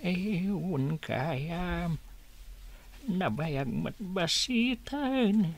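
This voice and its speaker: A frail, weary old father in a radio drama, acted by a woman, talks tenderly to his children. The delivery is extremely slow and halting, fairly low for a female voice, with heavy creak. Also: lively intonation, a little hiss or hum, full character voice.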